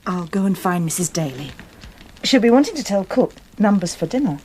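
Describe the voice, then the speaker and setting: Young English woman, radio drama sweetheart; husky edge, earnest, loving, protective and firm. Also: medium pitch, very animated, a little fast, warm tone, rough recording. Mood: gentle, caring. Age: young adult. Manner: tender, earnest, resolute. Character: devoted, protective young fiancée of a convalescent man.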